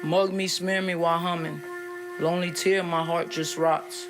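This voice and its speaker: A man recites rhymed spoken-word poetry in short phrases. His voice sits fairly high with a gravelly creak, and trumpet music plays clearly behind him. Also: level tone, moderate pace. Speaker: prison poetry student. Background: trumpet playing.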